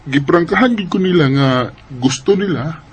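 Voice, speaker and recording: A man's voice: a little high, normal speed, natural voice. Young guy actor as sweet earnest boyfriend in a radio drama, coaxing, faint noise behind. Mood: courting, hopeful. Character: affectionate young male suitor, sweet and earnest. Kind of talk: Filipino radio drama acting. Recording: faint background noise and ambience.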